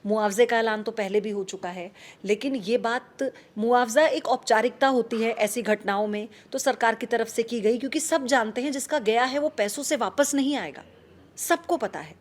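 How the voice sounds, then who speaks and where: A woman's voice: fairly high pitch, moderate pace. A woman news anchor giving an emotional, earnest commentary, asking rhetorical questions and repeating key phrases.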